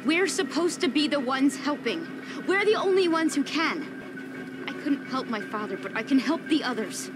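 A woman's voice: sits high, animated, moderate pace, noisy background. An actress playing an idealistic, stubborn young woman survivor in a video game, earnest and principled. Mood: determined, pleading. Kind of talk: video game cutscene acting.

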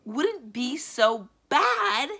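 A woman's voice: sits high, very animated, very slow, vocal fry, pushed and bright, breathy edge, pitched above the performer's own voice, exaggerated voice. A woman voicing a vain, hot-tempered aging male wizard, shrieking and indignant in overblown storybook style. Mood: outraged.

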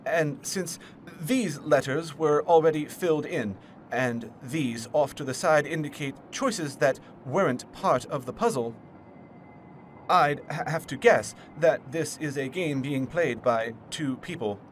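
Man playing nervy puzzle villain, smug, explanatory, highish pitch, faintly noisy recording. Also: measured pace, natural voice. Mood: explaining, nervy. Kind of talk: comic fan dub acting.

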